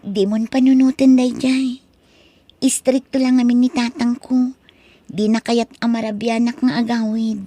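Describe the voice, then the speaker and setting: A woman's voice: on the high side, level tone, a little slow, warm tone, no room sound. A woman playing a meek, dutiful young college student and daughter in an Ilocano drama. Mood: downcast. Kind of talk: Ilocano radio-style drama acting.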